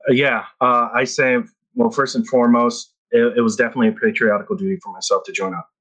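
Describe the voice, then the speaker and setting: Guy in his 30s from the philippines, rambling through a story, medium pitch. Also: flat delivery, fast, a bit bright, low-quality recording. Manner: rambling storyteller with frequent restarts and laughs. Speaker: podcast guest. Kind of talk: casual chat.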